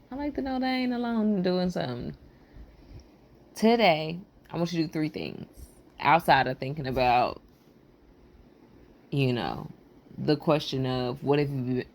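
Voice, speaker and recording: A woman hosting a motivational podcast, talking in a loose, rambling way with a raspy, froggy voice, hoarse and short on sleep. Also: a bass voice, a little mellow, slight background noise. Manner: loose, rambling encouragement with casual slang. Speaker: podcast host.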